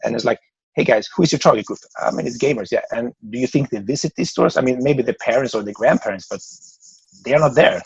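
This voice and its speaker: A man's voice: mid-range voice, fast, vocal fry, breathy edge, no room sound, muffled recording. A man in his forties from Sweden with a Swedish accent, a podcast guest talking casually and rambling with many restarts. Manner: rambling, restarts words often. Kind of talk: casual interview chat.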